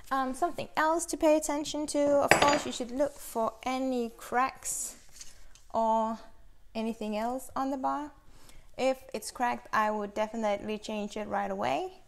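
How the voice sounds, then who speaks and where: Young woman, mid-pitch, gravelly fry, casual hands-on instructor, small workshop, close camera mic. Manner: relaxed, practical, explains while handling tools. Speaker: chainsaw instructor. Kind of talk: casual how-to demonstration. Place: small bright workshop indoors.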